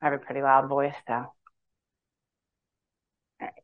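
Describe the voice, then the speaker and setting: A woman's voice: rumbling low, flat delivery, moderate pace, phone-quality sound. A woman speaking earnestly and candidly as she teaches a women's Bible study in a church hall. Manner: earnest, candid, reads long quotes aloud.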